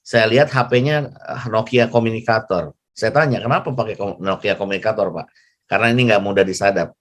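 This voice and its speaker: A man leading an informal class discussion as lecturer, chatty and halting, often repeating himself. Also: on the low side, a bit bright. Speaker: lecturer moderating an online class.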